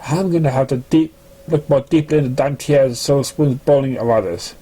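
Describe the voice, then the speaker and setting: A man's voice: mid-range voice, brisk, mellow, husky, lightly acted, poor audio. A man voicing a stern but fair schoolteacher pony in a formal, lecturing manner.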